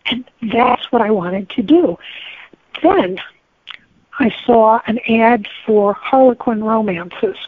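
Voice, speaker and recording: A woman's voice: on the high side, slow, husky, no echo. An older woman with an American accent, telling stories conversationally as an interview guest over a thin phone-like connection. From United States. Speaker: guest, novelist.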